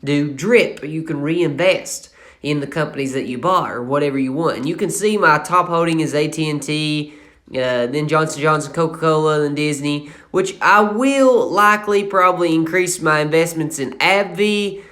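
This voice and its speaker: A man's voice: a little high, normal speed, a bit bright. Young Appalachian man rambling about his investments. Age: 20s. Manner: rambling and casual, many fillers and self-corrections. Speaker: channel host. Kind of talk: casual screen-recorded finance vlog.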